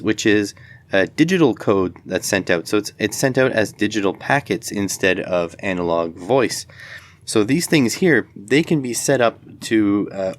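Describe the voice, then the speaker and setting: A man giving a casual, unscripted product demonstration in a rambling, matter-of-fact way. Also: on the low side. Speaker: video host, electronics product reviewer.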